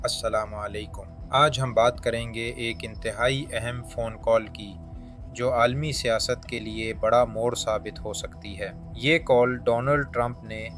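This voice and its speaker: A man's voice: mid-range voice, fairly bright tone. A man presenting a scripted news narration in formal Urdu diction. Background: background music.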